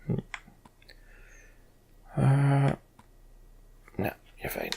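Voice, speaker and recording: A man's voice: mid-range voice, wildly swooping pitch, extremely slow, gravelly, dark tone, husky. A man hosting a video game let's play, giving casual commentary as he plays.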